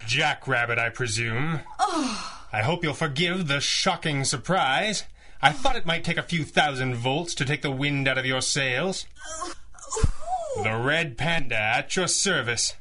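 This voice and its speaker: An actor playing a composed, wry masked hero in a 1930s-style radio drama, speaking in a formal, theatrical manner. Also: male voice, medium pitch, expressive, measured pace, background noise, lightly acted. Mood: smug, wry.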